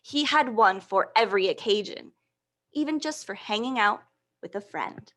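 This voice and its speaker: A woman hosting a storytime for young children, reading and leading action rhymes in a playful, encouraging, animated way. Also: mid-range voice, normal speed. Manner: playful, encouraging, checks in with listeners.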